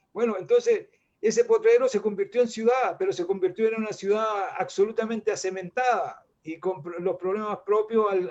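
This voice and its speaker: Older chilean guy rambling on in a panel chat, pretty high voice, kinda muffled lo-fi audio. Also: a little mellow. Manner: rambling, reflective, many restarts and fillers. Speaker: guest artist. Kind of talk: casual panel discussion.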